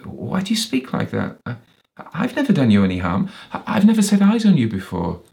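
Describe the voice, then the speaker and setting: A man's voice: on the low side, steady tone, fairly quick, a little mellow, close to an everyday voice. A man reading a story, voicing a frightened, flustered man who stammers and pleads with a tremble. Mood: frightened, indignant.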